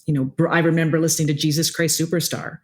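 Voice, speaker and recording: A middle-aged woman from Quebec with a low voice, chatting casually as a musician guest, often stopping and restarting. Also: little pitch movement, quick pace. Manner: hesitant, many restarts and fillers. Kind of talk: casual interview chat.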